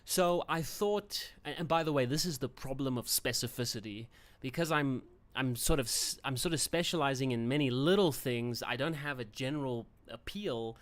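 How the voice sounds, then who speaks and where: A man's voice: mid-range voice, moderate pace, hardly any pauses. Chatty South African man, rambling. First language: Afrikaans. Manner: rambling, chatty, frequent fillers and self-corrections. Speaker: channel host. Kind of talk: casual livestream talk.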